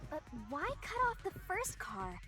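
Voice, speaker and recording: A woman's voice: high voice, huge pitch swings, unhurried, pushed and bright, faint music behind, full character voice. A woman voicing a perky, bossy female spirit sidekick in an anime-style video game, with a theatrical, teasing delivery. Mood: baffled.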